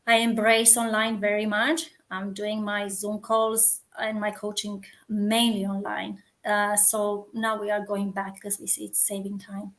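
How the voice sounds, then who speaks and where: A woman's voice: medium pitch, flat delivery, a little slow, hardly any pauses, a bit bright. A woman from Romania with a Romanian accent, a community founder chatting earnestly as a guest and often restarting as she hunts for words. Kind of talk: casual interview chat.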